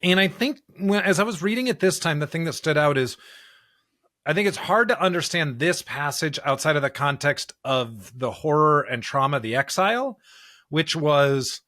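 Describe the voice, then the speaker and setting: A man's voice: fairly high pitch, fairly bright tone, no room sound, studio quality. A man co-hosting a podcast, explaining ideas conversationally and often punctuating his points with a questioning "right?". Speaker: podcast co-host. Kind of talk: conversational discussion of scripture and pop culture.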